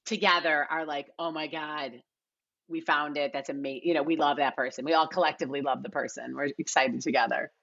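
A middle-aged American woman with a fairly low but very bright voice talks casually and emphatically, like a guest telling stories in an interview. The audio is slightly dull, as on a lo-fi video call. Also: brisk, creaky. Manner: animated, emphatic, piles up quoted asides. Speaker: guest, TV and film casting director.

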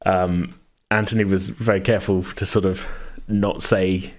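A man's voice: deep voice, little pitch movement, unhurried. A man talking casually as host and game master, in a rambling, hesitant, self-correcting way. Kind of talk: casual chat about a tabletop game.

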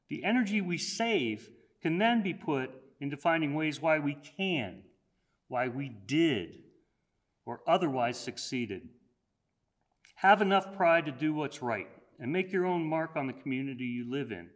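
An older man at a podium delivering a prepared commencement address, measured and earnest, slowing down on key phrases. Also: a little high. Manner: measured, earnest, slows down for emphasis. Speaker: college president giving commencement address. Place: college hall, at a podium.

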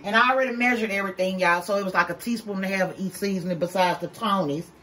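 A woman's voice: medium pitch, quick pace, heavy background noise. A woman, chatting casually to viewers as she cooks in her home kitchen, calling them y'all. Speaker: vlogger. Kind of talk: casual cook-with-me vlog. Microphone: camera held by someone else.

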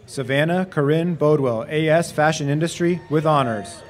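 A man announcing graduates' names and degrees at an outdoor commencement in a steady, formal way over a podium microphone. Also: on the high side, normal speed, slight background noise. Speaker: graduation name reader. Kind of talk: reading graduate names at a ceremony. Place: outdoor stadium commencement stage.

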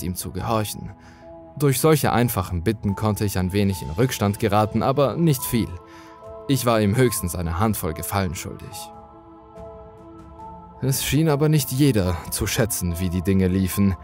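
A man's voice: fairly low pitch, darkish tone. A man reading a scripted first-person horror story as its narrator.

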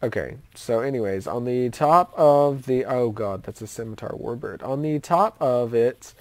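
A woman's voice: very deep voice, moderate pace, no echo. A young woman hosting a casual voice-chat story session, telling a story with many fillers and restarts. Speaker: host of a story-time voice chat. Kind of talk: casual storytelling over voice chat while gaming.

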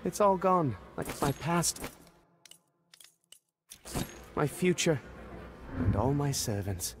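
A man's voice: on the high side, sing-song, a little slow, noisy background. A man voicing a self-pitying, entitled royal heir in a video game, theatrical and indignant. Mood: forlorn. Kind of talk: video game cutscene acting.

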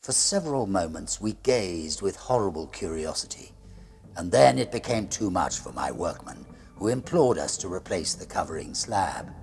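A middle-aged man narrates a classic horror story with grave deliberation. His voice is very low and breathy, swinging expressively in pitch and often falling toward a hush. Music plays underneath. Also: lively intonation. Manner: grave, deliberate, hushed at phrase ends. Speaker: storyteller reading a classic ghost story aloud. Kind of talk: dramatic gothic horror narration, read as a diary.

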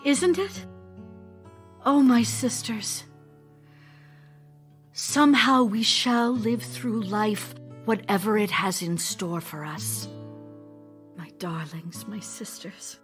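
A woman's voice: medium pitch, soft background music, close to an everyday voice. A woman playing an ardent, theatrical stage actress in love, speaking emotionally in an audio drama. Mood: anguished, lovelorn. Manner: emotional, theatrical, deliberate phrasing. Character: Olga Knipper, ardent Russian stage actress and lover. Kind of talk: audio drama acting, letters read as speech.

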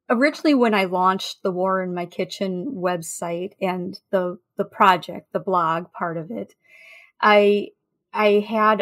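A woman speaks as a guest on a podcast, in a medium-pitched voice. She tells anecdotes conversationally, feeling her way through sentences with small hesitations. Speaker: interview guest, food history researcher.

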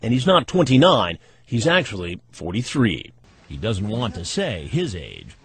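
A man's voice: on the low side, wildly swooping pitch, a little slow. A man narrating a TV investigative program in a wry, matter-of-fact scripted voice-over.

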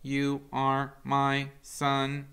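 A man doing a gentle, measured robot mother. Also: medium pitch, smooth, voice pushed up. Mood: earnest. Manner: slow, even, carefully spoken.

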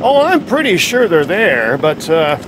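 A man's voice: on the high side, sing-song, measured pace, heavy background noise. An actor playing a glib, self-interested park businessman in a video game, flippant and full of hesitant asides. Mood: wry, evasive.

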